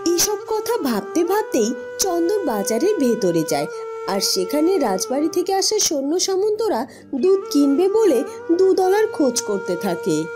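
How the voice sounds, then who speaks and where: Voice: sits high, very animated, moderate pace, music in the background. A narrator reading a scripted fairy tale for a cartoon in storybook style.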